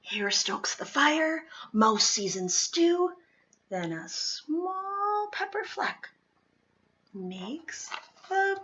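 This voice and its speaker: Expressive woman reading a picture book. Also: sits high, drawn-out words, highs cut off, a little hiss or hum. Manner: expressive, with whispered lines. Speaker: storybook reader. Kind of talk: children's picture book read-aloud.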